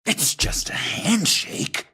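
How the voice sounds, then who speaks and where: A man voicing a manic, theatrical clown villain with a creaky voice and gleeful menace in a video game. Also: slow pace, pushed and bright. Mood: mock-friendly menace. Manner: theatrical, mock-friendly, cackling.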